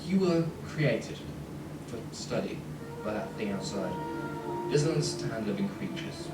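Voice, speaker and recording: A man playing a gentle, eccentric time traveller in a fan radio play, stammering, whimsical and reassuring. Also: fairly low pitch, animated, a little slow. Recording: roomy, distant, music behind.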